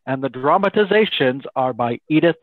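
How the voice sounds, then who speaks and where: A man's voice: mid-range voice, expressive, lightly acted. Formal radio announcer, played by a man on thin line. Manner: formal, measured announcer delivery. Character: courteous male radio announcer, Mr. Bell, visiting Watson. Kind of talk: 1930s radio drama acting. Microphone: video call. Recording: thin phone-like video call sound.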